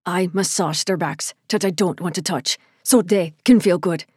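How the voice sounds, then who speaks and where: A woman voicing a bitter, hostile young male laborer who growls low with anger, in a storybook reading. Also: normal speed, darkish tone, breathy edge, no room sound, clean studio sound. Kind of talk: audiobook character voice.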